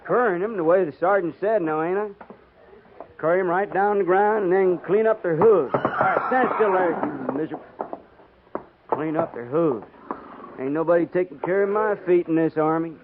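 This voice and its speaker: Griping Western trooper, tinny radio. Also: male voice, high-pitched, normal speed. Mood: grumbling. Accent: American. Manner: folksy, griping, needling drawl. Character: grumbling, taunting cavalry trooper of the old West. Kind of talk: 1950s radio acting. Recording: tinny, noisy 1950s radio recording.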